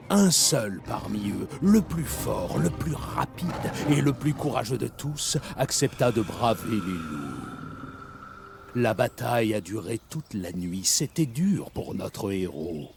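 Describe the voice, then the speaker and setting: An actor playing a kindly old animal elder in a children's cartoon, telling legends in a dramatic storyteller's manner. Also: male voice, on the low side, very animated, slow, gravelly, exaggerated voice. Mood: dramatic storytelling. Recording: music behind the voice.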